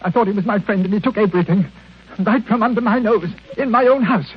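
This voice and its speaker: High-voiced, whining old miser. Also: male voice, fast, breathy edge. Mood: aggrieved, wailing. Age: older. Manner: whining, lamenting, blustering. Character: miserly, self-pitying old retired man, wronged husband. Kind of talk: 1940s radio acting. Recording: dull, noisy 1940s radio recording.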